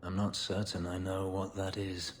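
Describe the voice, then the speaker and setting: Man playing a low-voiced brooding elven warrior. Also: completely flat delivery, moderate pace, slight background noise, close to an everyday voice. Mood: pained, regretful. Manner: brooding, intense. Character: bitter male elven former slave, brooding and vengeful.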